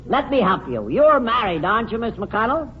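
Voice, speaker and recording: An actor playing an exasperated quiz host in an old-time radio comedy. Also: male voice, very high-pitched, very animated, normal speed. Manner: repeats answers in disbelief. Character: exasperated quiz host pleading with silly contestants. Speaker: quizmaster and host. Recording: noisy muffled old radio, loud studio audience.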